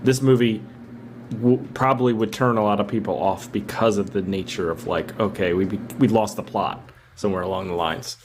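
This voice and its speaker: A man's voice: lowish voice, fairly even intonation, moderate pace, noisy background. A man co-hosting a podcast, casually discussing film in a rambling way, often searching for words. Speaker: podcast co-host.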